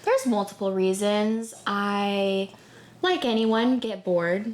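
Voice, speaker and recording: Animated young woman. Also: medium pitch, slow. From Houston, Texas. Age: young adult. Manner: animated, candid, casual swearing. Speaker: podcast co-host. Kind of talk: casual chat.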